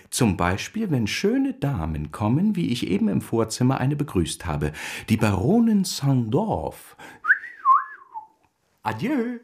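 An actor playing a wily, persuasive newspaper schemer in a German radio drama, with a husky, breathy voice and insinuating manner. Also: male voice, mid-range voice, huge pitch swings, slow pace, a little mellow, full character voice. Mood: amused.

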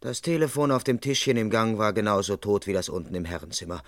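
Actor playing an earnest, deadpan police constable. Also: male voice, on the low side, quick pace, dry recording, clean studio sound, lightly acted. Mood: deadpan narrating. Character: earnest, dutiful male police constable, politely flustered. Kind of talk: first-person narration and dialogue in crime radio play.

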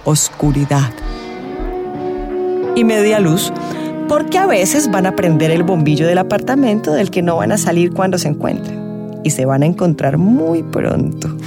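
A woman acting a mystical, teasing tarot reader in a radio drama, theatrical and suggestive, with dramatic pauses. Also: on the low side, very animated. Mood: teasing, ominous. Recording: music behind the voice. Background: music.

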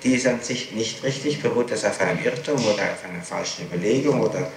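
A man questioning someone formally as a prosecutor, with measured, probing phrases broken by hesitant pauses. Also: on the low side. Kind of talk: formal interrogation. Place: reverberant room, interrogation recorded on tape. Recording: very echoey archival tape, clearly noisy.

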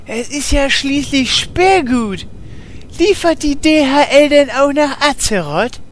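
An actor playing Horst, a big, strong but naive warrior who keeps asking puzzled questions, in a comedy radio play. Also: male voice, very high voice, expressive, takes it slowly, fairly bright tone, slight background noise, full character voice.

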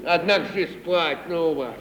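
An actor playing a gossipy, blunt old servant who mutters and hems in folksy speech in an old radio comedy. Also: male voice, on the high side, moderate pace, a crowd in the background, natural voice. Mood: blunt, argumentative. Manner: folksy, muttering, repetitive hemming. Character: grumbling, gossipy old male servant, bluntly truth-telling. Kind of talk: 1950s Russian radio stage comedy acting. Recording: very noisy old 1950s radio recording.

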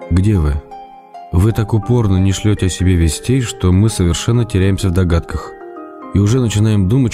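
An actor playing an ironic, tender male writer who reads his letters with playful mock-drama. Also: low-pitched. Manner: teasing mock-dramatic irony. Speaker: letter reader. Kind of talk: acted reading of letters in a radio play.

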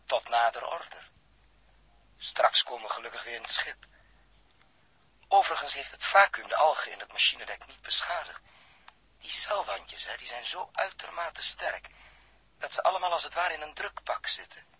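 An actor playing an earnest, inquisitive astronaut scientist in a 1960s radio drama, explaining and questioning. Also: male voice, mid-range voice, lively intonation, moderate pace, long pauses, pushed and bright, slightly rough, exaggerated voice. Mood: curious, calm.

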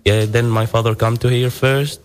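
Young man from Syria, interview guest, mid-pitched and hesitant. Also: flat delivery. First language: Arabic. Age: young adult. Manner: halting, searching for English words. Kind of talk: casual interview.

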